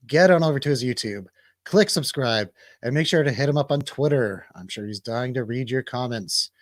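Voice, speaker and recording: A man's voice: medium pitch, no room sound. Male radio host with a dry, close sound. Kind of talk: casual movie review talk show.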